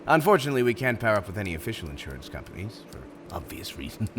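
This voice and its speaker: A man's voice: lowish voice, very animated, very fast, a bit bright, a little hiss or hum. A man playing an urbane, smug supervillain in an ASMR roleplay, speaking in a courteous, theatrical and emphatic way. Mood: smug, businesslike. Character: urbane, smug male supervillain interviewing a prospective minion.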